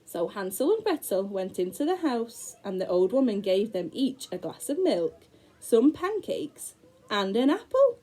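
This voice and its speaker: Expressive teacher reading a fairy tale. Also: female voice, medium pitch, low-quality recording. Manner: expressive, slow, dramatic storytelling with gasps. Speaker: primary school teacher. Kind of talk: storybook read-aloud for young children.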